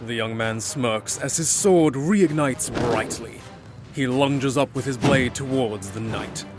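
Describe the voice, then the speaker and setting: A man's voice: medium pitch, slow pace, music in the background. A man giving dramatic, scripted fantasy narration as the storyteller.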